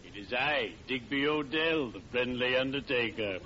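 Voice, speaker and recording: An actor in an old-time radio sitcom playing a courtly, gleefully morbid friendly undertaker, with a formal, theatrically solemn delivery. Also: male voice, medium pitch, animated, normal speed, a crowd in the background, close to an everyday voice. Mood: courtly greeting. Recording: muffled, noisy vintage radio with audience.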